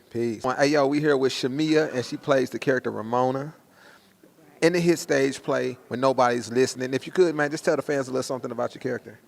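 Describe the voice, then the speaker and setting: A man's voice: mid-range voice, gravelly. A man interviewing guests in a casual hype style, full of slang and frequent "man" and "yo" as he promotes a stage play.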